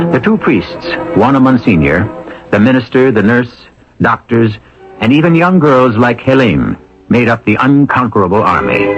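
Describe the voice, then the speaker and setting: A man hosting a radio drama, narrating from a script in a solemn, deliberate, dramatic manner. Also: fairly low pitch. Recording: muffled old radio broadcast.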